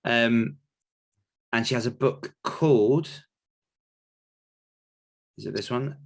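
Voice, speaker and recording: A man's voice: medium pitch, takes it slowly, vocal fry. A man talking casually as a podcast host, rambling a little as he thinks aloud while answering questions. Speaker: podcast host and author coach.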